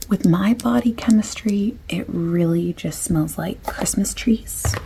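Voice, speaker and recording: Soft, creaky woman in whispery ASMR. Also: mid-range voice, a little hiss or hum. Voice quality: soft, breathy, often dropping to a whisper. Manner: unhurried, chatty, overexplaining. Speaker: ASMR creator playing a perfume shop assistant. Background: light clicking and tapping of items.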